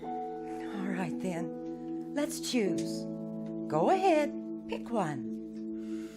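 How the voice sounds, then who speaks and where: A woman's voice: mid-range voice, very animated, extremely slow, loud music behind the voice. A woman playing an anguished, protective caretaker of a grieving little girl in an old-time radio drama, earnest and emphatic. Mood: gently coaxing.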